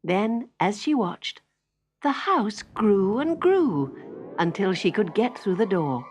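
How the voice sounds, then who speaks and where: A woman narrating a children's story in an expressive storytelling manner. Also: mid-range voice, slow, a little hiss or hum. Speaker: storybook reader. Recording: faint music behind the reading.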